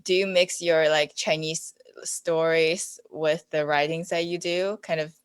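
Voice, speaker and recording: A woman's voice: low voice, fairly even intonation, unhurried, clean tone, no room sound. A woman speaking casually as a panelist in a podcast discussion.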